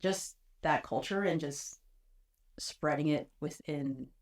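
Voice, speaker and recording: Woman, very low register, casual chat, hesitant and thoughtful. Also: slow pace.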